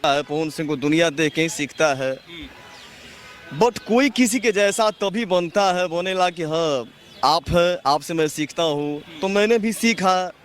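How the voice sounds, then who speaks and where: A man speaks in a high voice at an unhurried, slow pace. His Bhojpuri accent is plain, and he chats casually with a swaggering, film-dialogue flair, peppered with endearments. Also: faint music behind.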